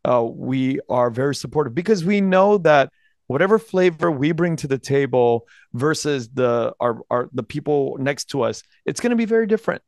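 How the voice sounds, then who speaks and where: Man, 40s, podcast host, earnest and halting, close studio mic in a dry room. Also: mid-range voice, expressive, a little mellow, no room sound. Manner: earnest, halting, many restarts. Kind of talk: interview podcast. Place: home studio room with bookshelves. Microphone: headphones and studio mic close up.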